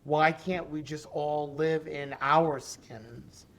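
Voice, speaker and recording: A woman in her forties with a deep, raspy voice, telling her story as a podcast guest in an animated, emphatic way and repeating key phrases. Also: slow pace. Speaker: podcast guest, special education advocate. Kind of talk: conversational interview storytelling.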